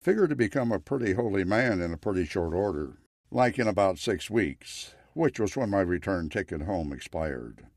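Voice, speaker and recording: A man narrating a scripted story in a measured, wry way. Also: deep voice, animated, darkish tone, no room sound, studio quality.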